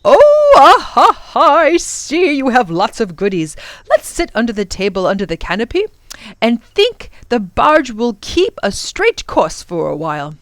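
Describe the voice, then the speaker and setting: Woman playing a fussy, animated old professor. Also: fairly high pitch, measured pace, no echo, pitched above the performer's own voice, heavily acted. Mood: jovial. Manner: oh-and-ah exclamations, explanatory, donnish. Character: kindly, fussy, easily flustered older male professor.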